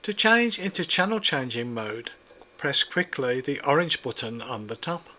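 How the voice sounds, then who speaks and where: Guy reading out a gadget review, bright voice, careful step by step instructions. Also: mid-range voice, lively intonation. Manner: measured, precise, step-by-step instructions. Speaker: product reviewer. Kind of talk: scripted product review narration.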